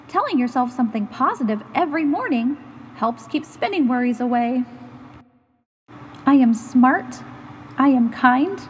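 Animated high-voiced woman reading a storybook. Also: big-room sound, slight background noise. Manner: animated, instructive. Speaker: storytime reader and host. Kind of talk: expressive children's picture-book read-aloud.

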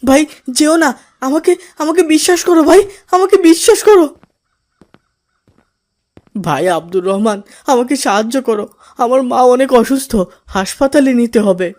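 A performer voicing a mischievous boy who loves fooling his friends, in an expressive, theatrical manner for a moral cartoon. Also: sits high, a little slow, long pauses. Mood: desperate, pleading. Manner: gleeful teasing, swings into wailing pleas. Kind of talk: Islamic moral cartoon voice acting.

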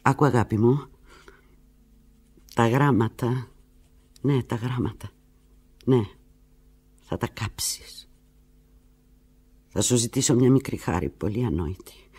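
An actress playing a desperate, lovelorn woman on the telephone to the lover who left her, in a theatrical radio drama monologue, halting and emotional with a breathy, husky voice. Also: extremely low pitch. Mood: tender, pleading. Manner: halting, emotional, swinging from hushed murmurs to urgent cries.

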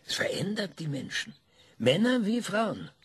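A man's voice: high voice, big pitch swings, unhurried, slightly rough, no echo, exaggerated voice. An actor in a radio play, playing an eccentric, cranky older man being interviewed, who hesitates often and lectures. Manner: hesitant, many ums, lecturing.

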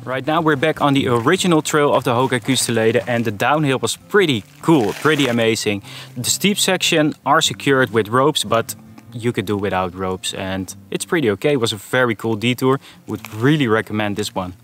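A relaxed man in his thirties talking to the camera outdoors while hiking. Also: medium pitch, fairly quick, soft background music. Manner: relaxed, easygoing. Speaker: hiking vlogger. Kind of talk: casual trail chat. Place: outdoors on a gravel trail.

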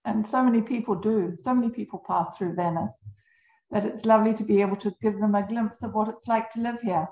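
A woman's voice: mid-range voice, fairly even intonation, brisk, darkish tone. An older woman from New Zealand telling her own story as an interview guest in conversation. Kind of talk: unscripted personal storytelling in conversation. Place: home on a canal in Venice. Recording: echoey room, slightly dull sound.

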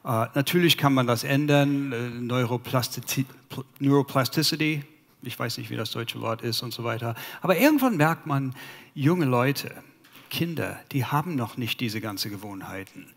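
A man in his fifties from the United States speaking German with an American accent and pausing to search for words, presenting to a conference audience on a headset mic in a large hall. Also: medium pitch, lively intonation, a bit bright. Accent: American English. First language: English. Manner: halting, searches for German words, many fillers. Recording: long hall reverb.

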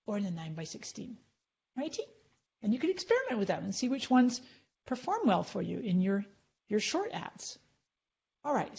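A woman's voice: on the high side, darkish tone, muffled recording. A middle-aged woman leading a chatty live tutorial on screen, clicking through and explaining as she goes. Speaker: social media marketing trainer.

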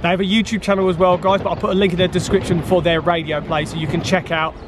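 A man's voice: sits high, little pitch movement, fast talker. A British man in his thirties presenting a casual vlog in an upbeat, chatty way. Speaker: vlog host. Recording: faint urban background noise.

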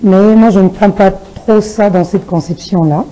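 A woman from Kinshasa with a Congolese French accent, answering studio interview questions as a political candidate, with a halting delivery. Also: mid-range voice, dark tone, rough recording. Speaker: parliamentary candidate and party spokesperson. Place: television studio. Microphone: gooseneck desk microphone.

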